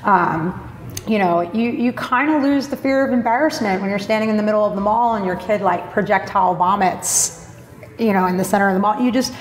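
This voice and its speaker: A woman's voice: mid-range voice, a little fast. A woman guest in an interview, talking bluntly and openly with lots of fillers and some swearing. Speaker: podcast guest, marketing blogger. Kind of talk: candid interview talk. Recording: roomy echo with faint noise.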